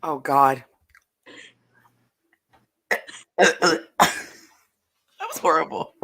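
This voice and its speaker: A woman co-hosting a casual podcast chat, candid and animated, her voice a bit hoarse from having partly lost it. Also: low-pitched, drawn-out words. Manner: animated and candid, uses "like" a lot. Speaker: podcast co-host.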